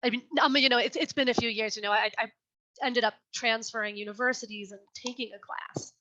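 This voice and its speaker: A young woman reading her poem slowly and with care, then answering questions in an earnest, chatty way over a video call. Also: a little high, steady tone, pushed and bright. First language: English. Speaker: poet reading her work. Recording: dull lo-fi video call audio.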